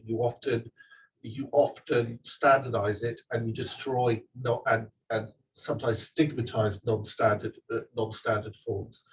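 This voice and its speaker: A man's voice: mid-range voice, a little hiss or hum. Distant-miked man chatting about his book. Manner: chatty, digressive, many restarts and fillers. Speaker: author giving a talk. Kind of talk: live talk and audience Q&A. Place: event venue with audience.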